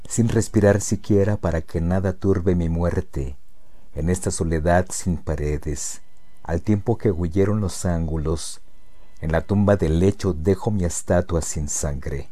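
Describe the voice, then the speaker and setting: A man reciting poetry in a solemn, measured and deliberate manner, with pauses between phrases. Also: low-pitched, dark tone.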